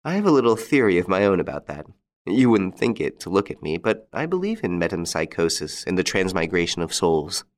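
A man narrating an audiobook and voicing a calm, mocking gentleman thief facing death. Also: deep voice, brisk. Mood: dry calm. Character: wry, unflappable gentleman thief, male adult.

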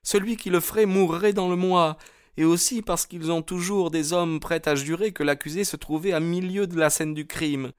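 A man's voice: on the high side, fairly even intonation, moderate pace, smooth, no echo, studio quality, voice pushed up, lightly acted. A man voicing an anxious young woman in love, speaking in an earnest, pleading way in an audiobook. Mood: fearful, warning. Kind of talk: audiobook character dialogue.

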